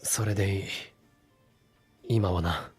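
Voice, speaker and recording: A guarded, brooding young man from an anime game. His voice is fairly low and heavily breathy, his delivery terse and restrained over background music. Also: fairly even intonation, moderate pace. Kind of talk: anime visual novel voice acting.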